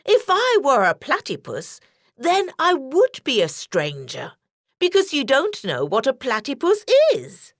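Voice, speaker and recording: A woman voicing a cunning, greedy, grumpy male wolf in a children's story, with a sly, drawn-out delivery. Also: sits high, wildly swooping pitch, no room sound, studio quality, heavily acted. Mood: sly, wheedling.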